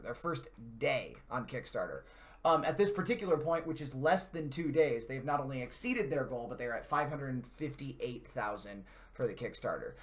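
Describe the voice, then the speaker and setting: A man hosts a gaming stream in an ordinary mid-range voice. He talks casually and with gushing enthusiasm, wandering loosely from one thought to the next in informal slang. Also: medium pitch. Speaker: host of a video game channel's live stream.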